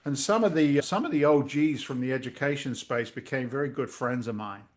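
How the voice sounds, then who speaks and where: A middle-aged man, a real estate investor telling stories in a casual interview over a video call, lively and blunt, acting out voices. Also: medium pitch, fairly quick. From Massachusetts. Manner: animated storyteller, acts out quoted voices, swears casually.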